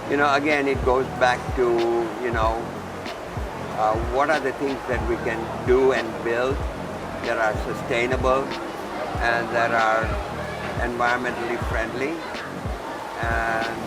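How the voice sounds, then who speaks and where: A man's voice: mid-range voice, level tone, measured pace, pauses often. A man answering interview questions conversationally as an executive, explaining with emphasis and repeating phrases. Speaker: theme park executive being interviewed. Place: busy water park. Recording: loud music behind, distant mic.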